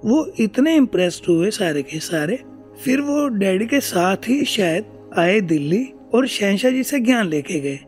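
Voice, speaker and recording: A man's voice: high voice, big pitch swings, measured pace, a little mellow, soft background music. A man speaking as an interview guest, telling family anecdotes in a conversational way.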